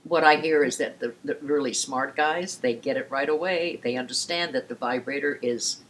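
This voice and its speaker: A woman's voice: rumbling low, moderate pace, low-quality recording. An older woman in casual chat as co-host, telling frank stories with theatrical flair. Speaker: sex educator co-host. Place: indoors before a paper screen.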